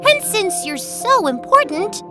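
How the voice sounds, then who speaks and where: A woman voicing a small, spirited female feather in a storybook tale, high, loud and indignant. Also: big pitch swings, unhurried, full character voice. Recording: children's music behind.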